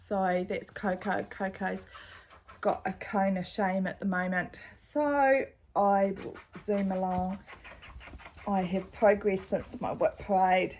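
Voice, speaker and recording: A middle-aged woman with a slightly croaky voice, chatting casually at home about her cross-stitch projects with a rambling, chatty manner, recorded on a camera's built-in mic. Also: mid-range voice, slow pace, darkish tone, a little hiss or hum. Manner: chatty, rambling, with fillers and asides. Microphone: camera's built-in mic, no external microphone.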